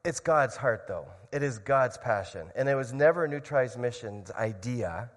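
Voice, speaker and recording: A man's voice: medium pitch, unhurried. Earnest man preaching in a reverberant hall. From grew up in Indonesia. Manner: earnest storytelling, builds excitement. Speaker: missionary speaker. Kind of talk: church missionary talk with prayer.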